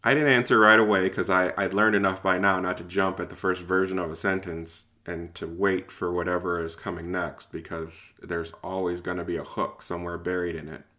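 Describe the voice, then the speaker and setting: A man's voice: sits low, flat delivery, brisk, few pauses, pushed and bright. A man performing first-person audio drama narration and dialogue in an informal, hesitant way, as if thinking aloud.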